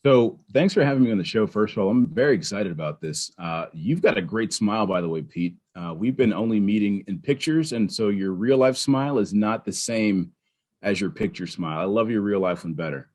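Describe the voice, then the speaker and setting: A man from Pittsburgh, a podcast guest, telling his story in an animated, conversational way with frequent restarts. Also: fairly low pitch, fairly quick. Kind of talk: conversational interview.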